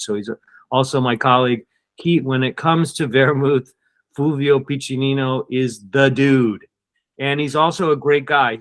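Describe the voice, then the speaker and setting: A man in his fifties hosting an interview over a video call, introducing his guest in an excited, emphatic way. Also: mid-range voice. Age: 50s. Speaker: host.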